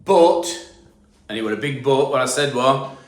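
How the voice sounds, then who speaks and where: A man's voice: medium pitch, normal speed. A middle-aged man with a Yorkshire accent giving a casual on-site walkthrough of a damp job, rambling and explaining with lots of fillers and restarts. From Yorkshire, England. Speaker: damp proofing specialist. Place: house being stripped for damp work, indoors. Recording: echoey empty room.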